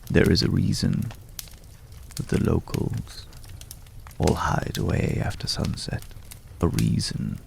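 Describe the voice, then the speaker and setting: Casting: man to play courteous, enigmatic male wanderer for ASMR fantasy roleplay. Very slow, hushed and measured, thick vocal fry, ominous undertone. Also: very animated, a little hiss or hum, exaggerated voice. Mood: grave warning. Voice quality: deep, gravelly. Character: mysterious, courteous male stranger visiting a hunter's night camp.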